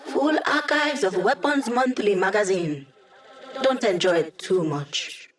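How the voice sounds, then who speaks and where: A woman's voice: mid-range voice, measured pace, recorded from a distance, lightly acted. An actress voicing a sassy, cocky young female hacker in a video game, with wry, teasing and sarcastic delivery. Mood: smug. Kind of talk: video game character voice lines.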